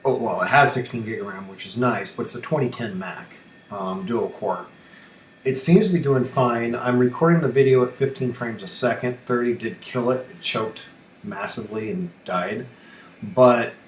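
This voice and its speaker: A man hosting a casual live-stream tutorial, rambling and thinking aloud in a self-deprecating way. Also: medium pitch. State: allergy coughing and throat-clearing. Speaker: livestream host and ham radio operator. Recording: roomy echo, faint noise.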